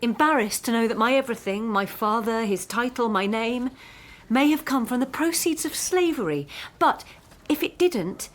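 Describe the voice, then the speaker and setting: Woman acting posh-family grad student, youngish, slightly high voice, earnest and pushy, really articulate, radio play. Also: animated, normal speed, few pauses, lightly acted. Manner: insistent, articulate, passionate. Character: earnest, driven, privileged female postgraduate student. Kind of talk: radio drama dialogue.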